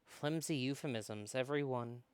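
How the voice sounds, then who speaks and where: A man's voice: mid-range voice, moderate pace, very noisy. A man reading visual novel narration aloud in a dramatic storytelling style.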